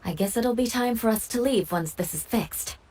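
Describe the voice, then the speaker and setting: A woman voicing a cool, composed young woman in an anime fantasy game, with a dry, measured and stern delivery. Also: on the high side, animated, noisy background, close to an everyday voice. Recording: music playing behind the voice.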